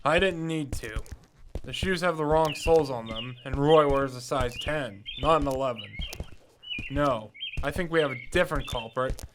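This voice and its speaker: A man's voice: medium pitch, moderate pace, background noise, lightly acted. A man playing a cocky, dogged young amateur detective in an audio drama, self-assured and theatrical. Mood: confident.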